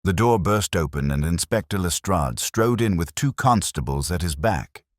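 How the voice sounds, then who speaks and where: A man's voice: deep voice, expressive, moderate pace, dry recording, studio quality. A man reading a mystery story as an audiobook narrator, in a dramatic scripted style.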